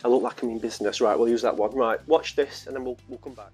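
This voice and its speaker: A man's voice: medium pitch, fairly even intonation, quick pace, darkish tone, husky, soft background music. Chatty young Northern English man. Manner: chatty, playful, rambling with fillers. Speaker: vlogger. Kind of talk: casual chat to camera. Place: small room at home, later outdoors in a park. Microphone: lapel mic.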